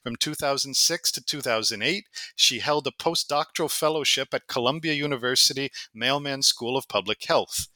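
A middle-aged man hosting an academic podcast, polite and measured as he introduces his guest formally. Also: mid-range voice, pushed and bright, no room sound. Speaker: podcast host.

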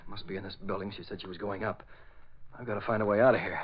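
An actor playing a resolute masked crime-fighter in 1940s radio style, urgent, clipped and dramatic. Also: male voice, lowish voice, fairly even intonation, fast talker, breathy edge. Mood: tense, cautious. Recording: muffled old radio recording, noisy background.

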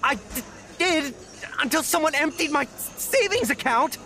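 An actor playing a naive, anxious young boyfriend in an audio drama, stammering and apologetic. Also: male voice, very high-pitched, expressive, moderate pace, bright, forceful tone, exaggerated voice. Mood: flustered.

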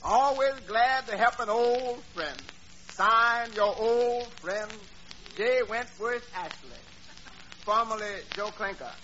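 Very high-voiced wry tavern worker. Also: male voice, slow, full character voice. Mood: reading aloud. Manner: dry, sarcastic deadpan comebacks. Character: wry tavern employee, slyly puncturing his boss. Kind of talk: 1940s radio comedy acting. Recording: dull old radio recording, faint noise.

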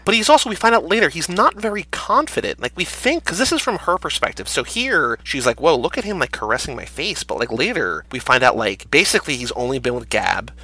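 Bright-voiced young man chatting casually. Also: on the high side, big pitch swings, fairly quick, few pauses, husky, no echo. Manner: chatty, reads quotes aloud with wry commentary. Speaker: podcast co-host. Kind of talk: casual chat reviewing a book.

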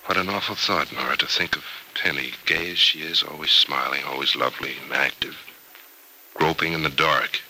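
A man acting a somber, grieving young man in an old-time radio soap opera, earnest and deliberate. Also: rumbling low, fairly even intonation, sharp tone, heavily acted. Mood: bitter, grieving. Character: somber young man grieving over an injured former fiancée. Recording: noisy vintage radio drama recording.

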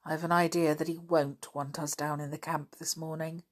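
A woman reading a storybook and voicing a steady, sensible boy who leads his friends, in a calm and reasoning manner. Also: low voice, moderate pace.

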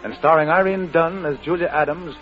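A man announcing and narrating a 1940s radio play in a measured, theatrical manner. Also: a little high, steady tone. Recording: dull vintage radio, music behind.

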